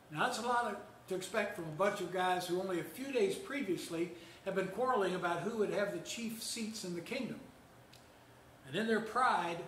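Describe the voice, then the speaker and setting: An older man, a pastor, gives a seated sermon in a measured, storytelling way with gentle humor. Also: sits high, a little hiss or hum. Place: church lounge with fireplace, indoors. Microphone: far camera. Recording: echoey room, voice heard from a distance.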